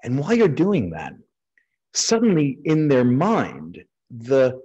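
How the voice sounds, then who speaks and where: An American man, middle-aged, lecturing as a surgeon in an informal, explanatory style with a slightly husky voice. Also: mid-range voice, huge pitch swings, takes it slowly, warm tone, highs cut off. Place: indoors, on a video call over slides.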